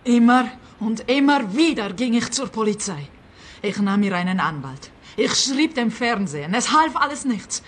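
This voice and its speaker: A woman's voice: on the high side, expressive, moderate pace. An actress playing an embittered, distraught woman who dramatically airs her grievances in a radio play. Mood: bitter. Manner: dramatic, aggrieved. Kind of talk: comic radio play acting.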